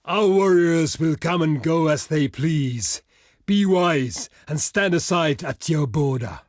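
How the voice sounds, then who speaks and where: An actor playing a proud, stern barbarian envoy in a video game, speaking in a solemn, formal and declamatory way. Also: male voice, a little high, lively intonation, slow, dry recording, studio quality. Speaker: diplomat character in a strategy game. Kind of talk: theatrical video game voice lines.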